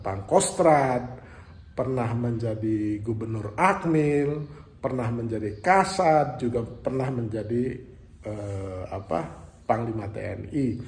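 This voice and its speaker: A man's voice: mid-range voice, dark tone, reverberant. A man hosting a solo political commentary, talking it through with frequent hesitations and fillers. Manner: thinking aloud with frequent fillers. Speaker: political commentary channel host.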